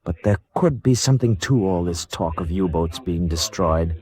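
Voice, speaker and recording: An actor playing the grave, measured narrator of a wartime naval radio drama. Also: male voice, sits low, animated, darkish tone.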